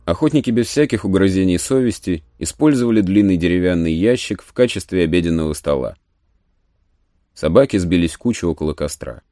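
A man narrating a literary audio play in a measured, solemn storytelling manner. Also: low voice, lively intonation, no room sound, studio quality. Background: soft music.

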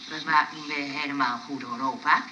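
A woman's voice: low voice, fairly even intonation, a little fast, muffled recording, noisy background, lightly acted. An actress playing an indignant, exclamatory woman of the house in a Dutch radio comedy. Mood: soothing. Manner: exclamatory, bossy. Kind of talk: Dutch radio play acting.

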